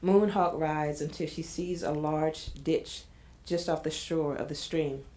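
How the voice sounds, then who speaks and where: A woman's voice: sits low, steady tone, unhurried, warm tone, a little hiss or hum. A woman narrating an audio drama, reading screenplay stage directions in a scripted style.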